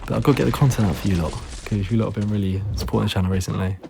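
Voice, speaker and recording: A man with a hoarse, fading voice, giving tired, laid-back gameplay commentary full of ums. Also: fairly low pitch, steady tone, very fast. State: hoarse, losing his voice; tired, yawning late at night. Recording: noisy recording with clicks.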